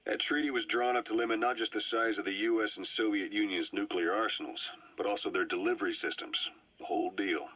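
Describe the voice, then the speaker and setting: An actor playing a sly, composed Soviet spy in a video game, speaking in a low husky voice with measured dramatic pauses on a cassette recording. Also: male voice, steady tone, no room sound. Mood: grave. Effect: cassette tape filter. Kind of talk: scripted dramatic game dialogue, recounting history. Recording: dull, boomy cassette tape playback.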